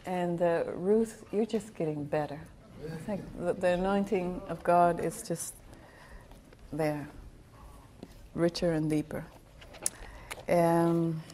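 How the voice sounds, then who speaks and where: A middle-aged woman with a slight foreign accent preaches from a church pulpit into a handheld microphone. She tells her life story in an animated, laughing way, with a voice pitched slightly low. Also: slight background noise. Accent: foreign accent of Italian and Latin American upbringing. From Bolivia. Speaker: guest missionary speaker. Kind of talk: sermon with personal storytelling. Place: church auditorium with a stage. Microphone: handheld microphone at a pulpit, wide camera.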